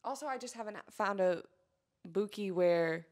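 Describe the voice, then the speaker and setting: A woman's voice: mid-range voice, normal speed, a little mellow. A young adult woman co-hosting a podcast, chatting casually with a friend into a close handheld mic. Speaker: podcast co-host. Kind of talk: casual chat between friends. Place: small bedroom, sitting on a bed. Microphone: handheld microphone held close.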